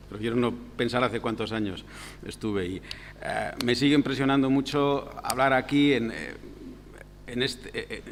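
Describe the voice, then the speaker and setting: A middle-aged man from Andalusia with an Andalusian accent, giving a formal but relaxed lecture, hesitating with many fillers and throat-clearing. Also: medium pitch, slight background noise. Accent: Andalusian Spanish. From Andalusia, Spain. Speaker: lecturer at an academic seminar. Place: lecture hall. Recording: long hall reverb.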